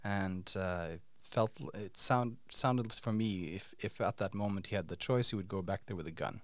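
A man hosting an interview podcast, his voice pitched somewhat low and recorded dry and close. He talks in a halting way, circling his questions with many fillers and restarts. He sounds middle-aged. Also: no room sound. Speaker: podcast host.

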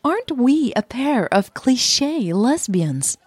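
A woman who is a native Dutch speaker, voicing a shy but playful, proud girlfriend in an audio story, softly earnest. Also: high-pitched, sing-song, slow pace, breathy edge, no echo, voice pushed up, heavily acted. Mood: playful, curious.

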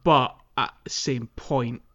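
A man co-hosting a casual gaming podcast, speaking in a halting way as he thinks aloud. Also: medium pitch, steady tone, a bit bright. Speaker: podcast co-host. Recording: dull, band-limited voice recording.

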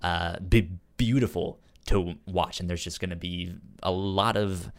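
A man co-hosting a podcast, chatting casually in a hesitant, halting way and often repeating his words. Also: low-pitched, fairly even intonation. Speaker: podcast co-host. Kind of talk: casual chat.

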